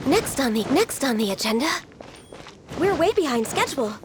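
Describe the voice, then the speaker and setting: A woman playing a gentle, earnest young female immortal in a video game, speaking politely and in measured phrases. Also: high-pitched, very animated, noisy background. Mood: polite, wistful.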